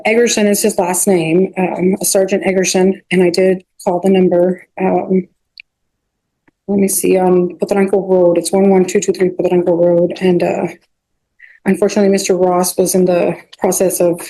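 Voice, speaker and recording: A woman argues as a defense lawyer before a judge, at a middling pitch. Her delivery is halting, with phrases begun, dropped and repeated as she puts her points on the record. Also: warm tone, poor audio. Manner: halting, repetitive, restarting phrases often. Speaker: defense attorney. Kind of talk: addressing a judge in a court hearing. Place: courtroom.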